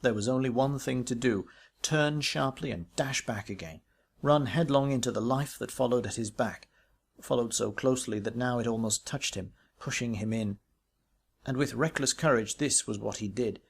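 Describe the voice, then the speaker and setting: A man reading a ghost story aloud as literary narration, in a measured, expressive way with varied emphasis. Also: medium pitch, vocal fry, husky. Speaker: audiobook reader.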